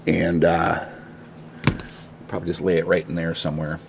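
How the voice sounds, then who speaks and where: Low-voiced man, casual DIY garage vlog. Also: level tone, normal speed, creaky. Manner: informal, mildly salty, explaining step by step. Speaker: DIY video host. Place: inside a home garage, at a pickup truck. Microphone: handheld camera, held by the speaker. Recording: noisy handheld camera audio in garage.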